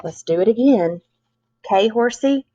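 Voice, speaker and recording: A woman's voice: a little high, expressive, measured pace, dark tone, no echo. A woman voicing a plucky, sassy young country girl with a folksy, grumbling manner in a storybook. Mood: gritty, game. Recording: dull, lo-fi close recording.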